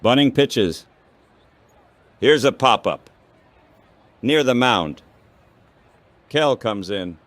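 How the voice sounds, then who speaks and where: A man calling baseball play-by-play in measured, clipped phrases, like scripted radio commentary. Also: mid-range voice, animated, a bit bright, dry recording.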